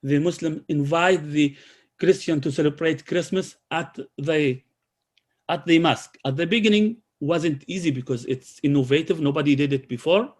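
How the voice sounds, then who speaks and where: A man talks about his community work over a video call. His voice sits a little high, and he speaks haltingly, full of fillers and restarts. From Israel. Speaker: community program coordinator.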